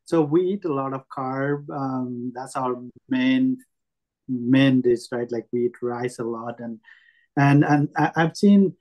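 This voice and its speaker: A man's voice: medium pitch, slow, highs cut off. A man from Nepal with a Nepali accent, asking a question as an audience member, hesitant and full of fillers. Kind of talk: spontaneous question.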